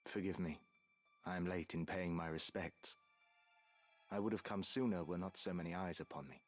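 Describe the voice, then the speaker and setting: A low-pitched, solemn man of a fantasy game, speaks with remorse and measured, archaic formality. Music plays softly behind the voice. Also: fairly even intonation. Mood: solemn, reflective. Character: grave, remorseful male friend recounting news at a grave. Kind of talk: scripted game drama.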